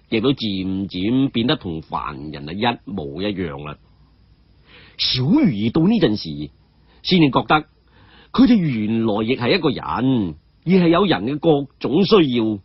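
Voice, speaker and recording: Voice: medium pitch, slightly rough, no echo. A storyteller with a Cantonese accent narrating a martial-arts tale in a dramatic audiobook style. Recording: dull, muffled audio.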